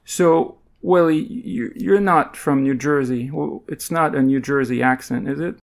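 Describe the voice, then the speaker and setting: A man hosting a podcast interview, asking questions in a relaxed, lightly teasing way. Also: on the high side, measured pace, gravelly. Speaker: podcast host.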